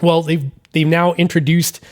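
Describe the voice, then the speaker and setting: A man's voice: on the high side, flat delivery, normal speed, a little mellow, dry recording, clean studio sound. A man in his thirties with a scratchy voice from being sick, hosting a casual solo podcast from a hotel room in a rambling, apologetic way. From Massachusetts, United States. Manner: rambling, self-deprecating, apologetic. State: scratchy voice from illness. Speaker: podcast host.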